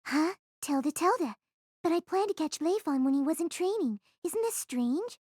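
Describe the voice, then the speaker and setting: A woman's voice: high voice, animated, normal speed, darkish tone, no room sound, clean studio sound. A woman voicing a nosy, gossiping schoolgirl who pries at a classmate with teasing questions. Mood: teasing, prying.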